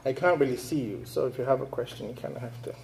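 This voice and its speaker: A man's voice: mid-range voice, quick pace, a little mellow, slight background noise. Thoughtful man from Nigeria telling stories. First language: English and Igbo. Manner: thoughtful, storytelling delivery. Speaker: writer giving a public talk. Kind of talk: reading prose aloud, then audience questions.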